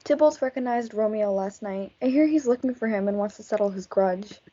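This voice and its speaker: A woman's voice: medium pitch, brisk, warm tone, lightly acted. A young woman reading a worried, peaceable young man in amateur reader's theatre. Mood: wary. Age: teenager. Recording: dull lo-fi audio.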